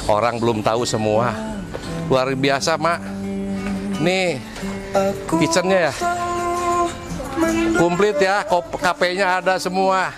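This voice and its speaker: A man's voice: fairly high pitch, takes it slowly, pauses often, loud music behind the voice. A middle-aged man with a West Javan Indonesian accent, chatting enthusiastically and at length as a casual vlog host. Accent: Sundanese-region Indonesian. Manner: enthusiastic, talkative. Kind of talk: casual on-location vlog chat. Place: open-air cafe terrace and outdoor stairs. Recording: clear outdoor ambient noise, passing vehicles.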